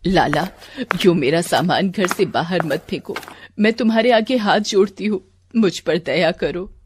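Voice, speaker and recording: A loving, anxious village mother acted by a woman in a moral story. The voice is fairly low, tender and pleading, over faint noise. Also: normal speed, lightly acted.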